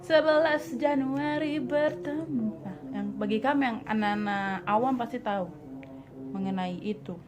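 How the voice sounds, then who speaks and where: A woman's voice: a little high, moderate pace, over music. Blunt young Papuan woman vlogging. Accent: Papuan Indonesian. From Papua, Indonesia. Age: 20s. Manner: blunt, teasing, mixes in English words. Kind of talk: casual Q&A vlog.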